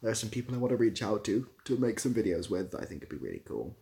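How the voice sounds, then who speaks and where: A man's voice: fairly low pitch, very animated, quick pace, gravelly, dark tone. A young British man chatting casually on a livestream, rambling a little and dryly playful as he responds to viewers. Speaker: live streamer. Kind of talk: casual livestream chat, answering viewer comments.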